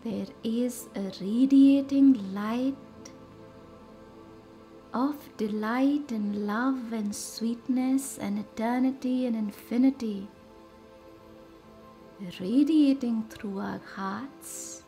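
Female meditation guide, unhurried and mellow with a touch of fry, over a music bed. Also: on the high side. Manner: soothing, measured delivery in short pausing phrases. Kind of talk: guided meditation with slow, reverent visualisation.

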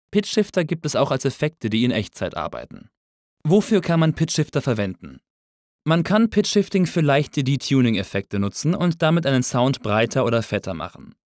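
A male instructor reads a tutorial script. His mid-pitched voice moves expressively while he explains things in an orderly way. The sound is close and dry, like a studio voice-over. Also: a little mellow, no echo. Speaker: audio engineering instructor. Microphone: close studio voice-over mic.

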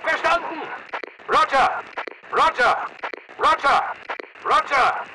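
An actor playing a tough, disciplined mercenary soldier in a video game, giving clipped military commands. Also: male voice, very high voice, lively intonation, takes it slowly, distant mic, background noise, exaggerated voice. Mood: barking, urgent. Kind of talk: military battle chatter, short barked commands.

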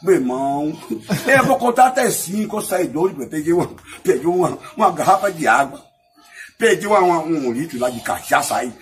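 A man with a Brazilian accent telling a comic anecdote in casual chat, excitable and repetitive, acting out sound effects and dialogue. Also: a little high, a little fast. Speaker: comic storyteller.

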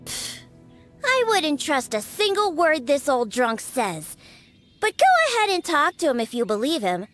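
A woman's voice: high voice, wildly swooping pitch, unhurried, fairly bright tone, soft background music, heavily acted. A woman voicing a feisty, scornful young girl in a video game, with a pert, childlike, scolding manner. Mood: scornful, grumbling. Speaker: game character voice actor. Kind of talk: video game character dialogue.

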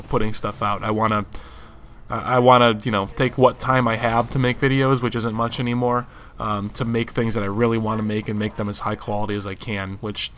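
A hoarse young man in his twenties, rambling casually through a channel update for his viewers. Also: lowish voice, a little hiss or hum. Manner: rambling, relaxed, many fillers. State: hoarse from allergies. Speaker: gaming channel host.

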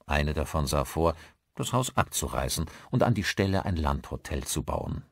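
Male narrator, low register, dry close studio sound, measured and articulate thriller reading. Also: sing-song, vocal fry, husky, no room sound. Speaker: audiobook narrator. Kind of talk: scripted audiobook narration of a thriller.

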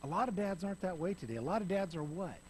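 A middle-aged man preaching on a church stage, swinging between loud emphasis and soft, hushed passages. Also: high-pitched, animated, fast talker, slight background noise. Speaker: pastor. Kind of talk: sermon. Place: church stage, in front of a lit backdrop.

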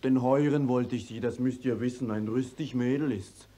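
Young male peasant, acted by a man, mid-pitched voice, rustic, stubborn, wordy, German radio drama. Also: fairly even intonation, normal speed, dark tone, lightly acted. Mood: earnest storytelling. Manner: rustic, stubborn, long-winded storytelling. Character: defiant, plain-spoken young peasant man. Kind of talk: classic German stage radio drama acting.

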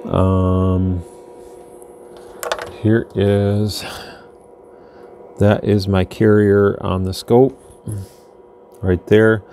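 A man's voice: lowish voice, flat delivery, very slow, long pauses, mellow. A man giving a casual, unscripted hands-on product demonstration at an indoor workbench, talking as he goes. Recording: noticeable background noise.

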